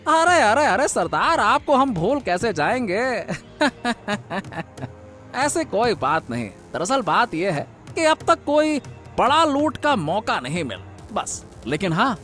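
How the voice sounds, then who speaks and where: A man voices a scheming cartoon royal minister who flatters his betters. His delivery is oily and theatrical, swinging widely in pitch, with music behind him. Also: slow, exaggerated voice. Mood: smooth, conniving. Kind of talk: animated Hindi moral-story voice acting.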